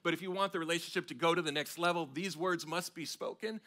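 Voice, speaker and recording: A man in his forties with an American accent, preaching a sermon on a church stage in a conversational, animated way with frequent restarts. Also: on the high side, quick pace. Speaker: pastor. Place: large church stage with audience.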